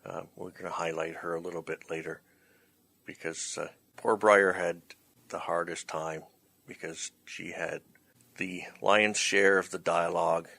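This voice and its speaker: A man hosting in a casual chat, talking in a halting, hesitant way. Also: low voice, fairly even intonation, gravelly, pushed and bright, breathy edge. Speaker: host, writer-director of the play. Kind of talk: casual chat introducing bloopers.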